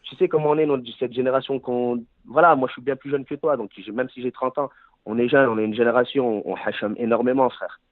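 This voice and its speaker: Fast-talking thirties man on a phone line. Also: medium pitch, steady tone, no room sound. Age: 30s. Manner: fast, storytelling, self-correcting. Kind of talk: casual debate over a phone call. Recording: tinny phone line, dry.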